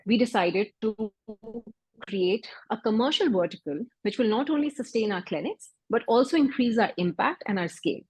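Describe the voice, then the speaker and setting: Husky-voiced Pakistani woman giving a talk. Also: fairly high pitch, dry recording, rough recording. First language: Urdu. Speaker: doctor and health startup founder. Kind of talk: event talk.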